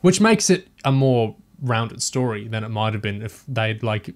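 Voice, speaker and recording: A man's voice: lowish voice, expressive, moderate pace. A man chatting casually and thoughtfully as a panelist on a review podcast, feeling his way through his points.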